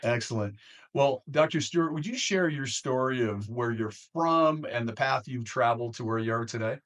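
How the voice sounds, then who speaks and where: A man hosting a podcast interview, speaking conversationally in measured phrases and leaning on key words. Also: medium pitch, a bit bright.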